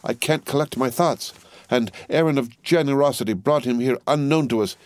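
Man voicing a distraught young wife, raised pitch. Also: normal speed, no echo, close to an everyday voice. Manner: panting, pleading. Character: distraught, imploring young wife, devoted and fearful.

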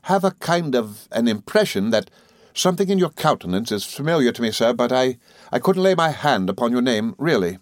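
Man voicing a timid middle-aged country doctor, meek, slow, stammering, deferential, dry close sound. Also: mid-range voice, sing-song, no room sound, pitched above the performer's own voice, natural voice. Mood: meek, fluttered. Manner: slow, apologetic, hesitant, with deferential sirs.

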